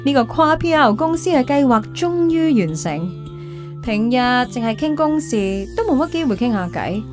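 Wistful career woman, high pitch, Hong Kong Cantonese accent, radio drama, reflective, music behind. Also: big pitch swings, moderate pace. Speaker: lead actress and narrator. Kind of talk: radio drama narration and dialogue. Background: background music.

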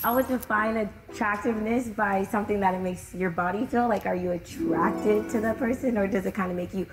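Relaxed young woman, mid pitch. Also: a little fast, music in the background. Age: 20s. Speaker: show participant. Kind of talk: interview answers.

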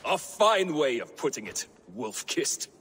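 An actor playing a bitter middle-aged Viking warrior in a video game, sarcastic and contemptuous. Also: male voice, medium pitch, very animated, a little slow, fairly bright tone, slightly rough, in a large hall, full character voice. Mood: scornful. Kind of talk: video game cutscene acting.

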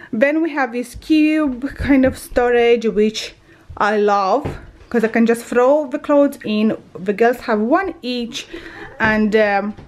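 A woman in her thirties casually narrating a tour of her house, chatty and often correcting herself as she walks through the rooms. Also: medium pitch. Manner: chatty, self-correcting, walks while filming. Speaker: vlogger giving a house tour. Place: bathroom and bedroom of a house, indoors. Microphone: handheld camera.

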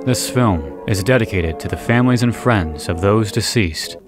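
A man reading scripted analysis narration in a measured, deliberate way with dramatic pauses. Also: on the low side, music in the background. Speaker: video essay narrator.

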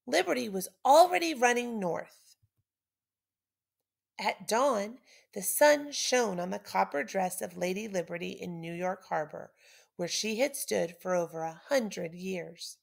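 A middle-aged woman reads a children's story aloud like a teacher, with deliberate, dramatic emphasis. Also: medium pitch, expressive, vocal fry.

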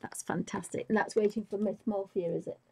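A woman demonstrating a colouring technique live, a bit croaky from a chest infection, speaking softly and musing, often trailing into whispers. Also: medium pitch, fairly even intonation, a little fast, slight background noise. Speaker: art tutor.

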